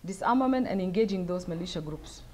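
A middle-aged woman from South Sudan answering audience questions at a lectern, speaking thoughtfully with many pauses and fillers. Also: fairly low pitch, expressive, warm tone, a little hiss or hum. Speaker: guest speaker. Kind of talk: lecture Q&A answers. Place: wood-paneled event room, at a lectern. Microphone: lectern mic.

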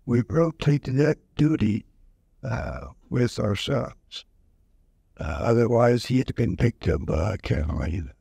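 A man in his nineties slowly recalling wartime service in an oral history session, halting with many fillers, speaking into a handheld mic. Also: medium pitch, animated, vocal fry, warm tone, breathy edge, no room sound. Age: over 90. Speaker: veteran interviewee. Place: museum event room, indoors. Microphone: handheld mic held close.

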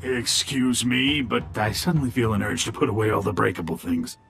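Husky, gravelly alien gangster lieutenant. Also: male voice, on the low side, moderate pace, fairly bright tone, no room sound, soft background music, exaggerated voice. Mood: wry. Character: shady alien male gangster lieutenant running a desert club. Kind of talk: video game dialogue acting.

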